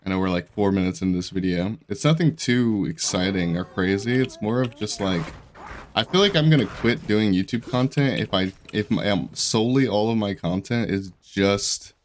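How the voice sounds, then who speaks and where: A man's voice: on the low side, moderate pace, slight background noise. A young man with a stuffy, congested voice and a sore throat, chatting casually as he plays and comments on a game, rambling and restarting his sentences. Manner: rambling, self-interrupting, laughs often. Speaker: gaming YouTuber. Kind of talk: casual commentary while playing.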